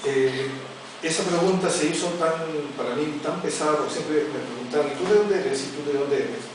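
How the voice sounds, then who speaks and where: A middle-aged man from Peru's Amazon region, an author presenting his book and telling a story in a halting way, with many restarts and fillers. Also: a little high. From Peru, Amazon region. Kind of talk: informal talk at a book presentation. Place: large echoey arts center hall. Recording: echoey hall from far off with noise.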